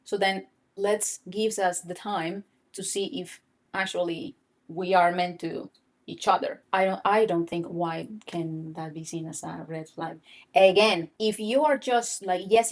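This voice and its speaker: A woman's voice: on the low side, fairly even intonation, a little slow. A woman whose first language is Spanish, co-hosting a casual chat about dating, often restarting her sentences and repeating words. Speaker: podcast co-host.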